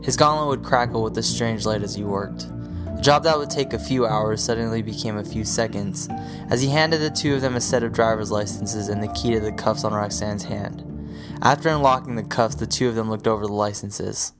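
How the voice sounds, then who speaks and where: A man's voice: fairly low pitch, a little fast, over music. A man reading scripted story narration as the narrator of an audio drama.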